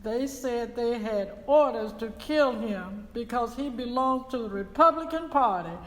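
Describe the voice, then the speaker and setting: A woman's voice: fairly high pitch, measured pace, close to an everyday voice. A woman acting as a wife in a dramatic reading, testifying about threats to her husband. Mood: emphatic, distressed. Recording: echoey hall.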